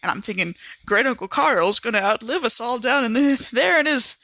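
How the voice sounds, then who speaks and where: A woman who is a regular podcast player, chatting casually and telling stories in an animated, conversational way. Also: a little high, moderate pace, dry recording. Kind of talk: casual chat and animated in-character recap storytelling.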